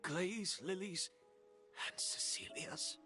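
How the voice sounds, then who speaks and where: Sharp, slow-speaking, bitter woman perfumer. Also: fairly low pitch. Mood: bitter, shaken. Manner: dramatic, halting, incredulous. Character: proud, bitter woman perfumer obsessed with revenge. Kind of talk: video game story voice acting. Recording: faint background music under the voice.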